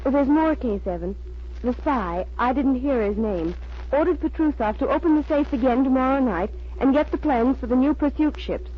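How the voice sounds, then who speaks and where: An actress playing a brisk, earnest female agent making dutiful reports in an old-time radio drama, on a muffled recording. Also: high-pitched, animated, a little hiss or hum. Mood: urgent, dutiful.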